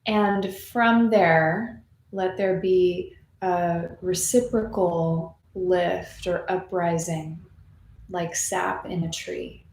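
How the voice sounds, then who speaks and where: Female meditation teacher, soothing and slow-paced, leaving long gaps, recorded in a roomy space. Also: on the low side, fairly even intonation, darkish tone. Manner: soothing, unhurried, with long pauses. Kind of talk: guided meditation, gently spoken and unscripted. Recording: roomy echo, faint background noise.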